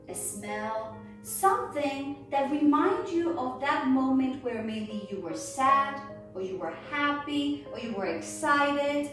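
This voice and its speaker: A woman giving an instructional dance lesson as a ballet teacher, with a measured, deliberate, encouraging delivery, recorded indoors on a distant camera microphone. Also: high-pitched. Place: indoors, hard-floored home studio room. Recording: echoey room, distant mic, music behind.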